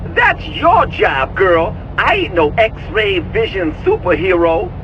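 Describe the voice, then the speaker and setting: A man's voice: high voice, slow, lo-fi sound, a little hiss or hum, exaggerated voice. An actor playing a cocky, slangy young American tech support guy in a video game cutscene. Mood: teasing. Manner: slangy, animated, excitable.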